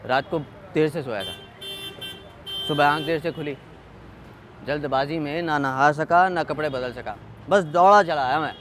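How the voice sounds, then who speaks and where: A man's voice: fairly high pitch, unhurried, pauses often, a bit bright, a little hiss or hum. An actor playing a sheepish, careless male petrol pump attendant in a training film, talking casually and apologetically, as if making excuses. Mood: sheepish, evasive. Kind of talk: training film dialogue acting.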